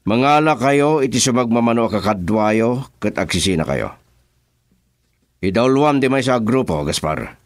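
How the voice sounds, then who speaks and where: An actor playing an authoritative, calculating crime boss in a radio drama, with a Filipino accent. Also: male voice, mid-range voice, expressive, moderate pace, dry recording, clean studio sound, natural voice. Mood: commanding. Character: commanding male crime boss giving orders to henchmen.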